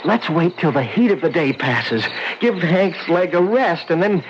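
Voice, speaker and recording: A man's voice: a little high, expressive, measured pace. A man playing a cautious escaped army convict with an American accent in a radio drama. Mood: uneasy, reasoning. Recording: lo-fi old radio drama, noisy background.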